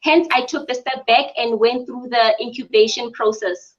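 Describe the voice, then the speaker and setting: High-voiced woman, earnest and measured. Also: fairly even intonation. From South Africa. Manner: measured, earnest, with frequent ums. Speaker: spa business owner. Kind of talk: interview answers. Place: her mother's home. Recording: dull lo-fi audio.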